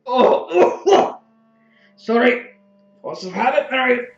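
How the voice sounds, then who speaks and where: A woman's voice: on the high side, sing-song, very slow, full character voice. A woman playing a dying prisoner, weak and coughing, wry and weary, in an audio drama. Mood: mocking. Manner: sardonic, weary. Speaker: voice actor. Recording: echoey, dull, faint music behind.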